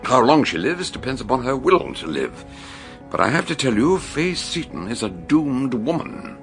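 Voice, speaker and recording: An actor playing an older detective in a radio mystery, genial yet grave, explaining things deliberately. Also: male voice, mid-range voice, sing-song, vocal fry, faint music behind. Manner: hesitant openings, deliberate explanatory delivery. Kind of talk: radio mystery drama acting.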